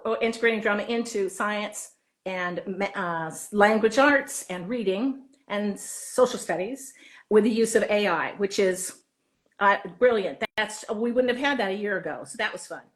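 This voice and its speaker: A woman talking casually as an interview guest, chatty and given to acting out bits of dialogue. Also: mid-range voice. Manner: chatty, acts out quoted dialogue. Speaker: interview guest, author and drama teacher. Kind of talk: animated casual interview talk.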